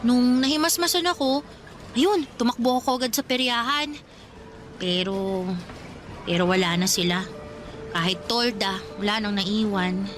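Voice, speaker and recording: Radio drama role: sentimental older girl cousin, fairly high voice, confiding, emotional, music clearly behind. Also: measured pace, long pauses, a bit bright. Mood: regretful. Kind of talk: Tagalog radio drama acting.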